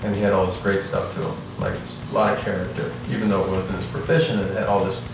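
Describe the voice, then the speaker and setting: Man, lowish voice, very roomy distant recording, casual interview storytelling, musician. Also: level tone, fast talker, noisy background. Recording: echoey room, distant mic, loud music behind.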